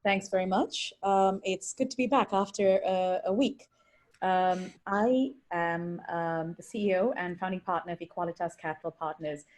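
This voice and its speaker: A woman investor introduces herself on an online panel over a video call. She speaks in an articulate, businesslike way with occasional ums. Her voice is a little low. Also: moderate pace, darkish tone.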